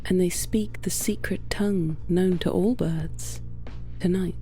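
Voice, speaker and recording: A woman narrating a scripted literary story in a measured, hushed way, with dramatic pauses. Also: lowish voice, fairly even intonation, soft background music.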